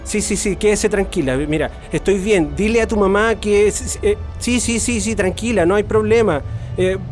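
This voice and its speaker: An actor playing an earnest, talkative veteran Chilean union leader in a radio drama. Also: male voice, sits high, normal speed, faint music behind, lightly acted. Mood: nervous, reassuring. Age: older.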